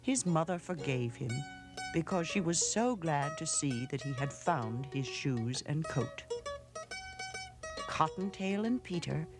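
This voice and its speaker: A woman narrating a children's storybook aloud in a measured, dramatic way, with hushed pauses. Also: low-pitched, animated, music in the background. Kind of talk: expressive storybook read-aloud.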